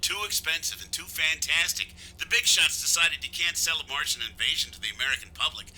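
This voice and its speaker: A man's voice: a little high, brisk, sharp tone, breathy edge, full character voice, rough recording. An actor playing a blunt, slangy film-business man in 1950s radio drama style. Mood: matter-of-fact.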